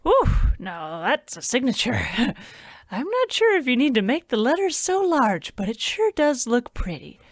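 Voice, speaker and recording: A woman's voice: fairly high pitch, big pitch swings, measured pace, dry recording, studio quality, exaggerated voice. A woman acting a chatty, folksy, melodramatic village innkeeper in an old-time radio horror comedy, with gushing, theatrical delivery. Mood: eager, welcoming. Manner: theatrical, gushing, swinging into ominous asides.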